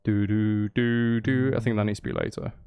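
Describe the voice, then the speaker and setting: A man chatting casually on a livestream as he makes music, excitable and apologetic, with exclamations and nonsense syllables for riffs. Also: normal speed, vocal fry. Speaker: streamer making music. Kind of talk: casual livestream chat while composing music. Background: bass guitar and music playing.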